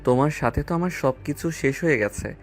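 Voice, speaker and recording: A man's voice: on the high side, a little fast, natural voice. A man playing a cocky, carefree young student boyfriend in an audio love story, with a casual, offhand manner. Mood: casual, puzzled. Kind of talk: acted dialogue in an amateur audio love story. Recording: voice over background music.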